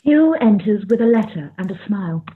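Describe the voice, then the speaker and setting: A woman's voice: medium pitch, animated, fairly quick, close to an everyday voice. A woman narrating scripted stage directions for a radio play. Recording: thin phone-like sound.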